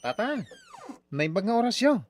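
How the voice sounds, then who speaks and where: Animated young Ilocano suitor. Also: male voice, very high voice, normal speed, over music. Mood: polite, hopeful. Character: courteous young male suitor visiting a sweetheart. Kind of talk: radio drama acting.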